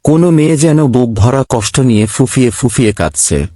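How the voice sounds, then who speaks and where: Narrator for animated horror tale: man, very expressive read, dry close recording. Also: medium pitch, brisk, no echo. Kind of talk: scripted ghost story narration.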